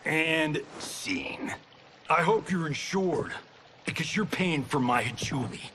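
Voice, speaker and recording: An actor playing a cocky, wisecracking movie star in a video game cutscene, talking in a sarcastic, joking way. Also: male voice, fairly high pitch, expressive, takes it slowly, background noise. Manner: sarcastic, joking, laughs often. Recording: game cutscene with music behind.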